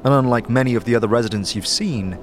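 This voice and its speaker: A man narrating a fantasy audio drama in a measured, atmospheric storytelling style. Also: mid-range voice, background noise. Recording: clear background music.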